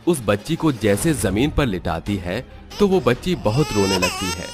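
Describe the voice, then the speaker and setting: A man's voice: fairly low pitch, sing-song, normal speed, music in the background. A man narrating a scripted Hindi cartoon story as its storyteller.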